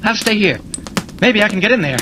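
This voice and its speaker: Very noisy vintage radio, urgent American reporter hero, high voice, animated 1940s serial acting. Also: male voice, a little fast. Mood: urgent, alarmed. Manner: switches from flustered high reporter to firm low hero. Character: superhero in guise of urgent newspaper reporter.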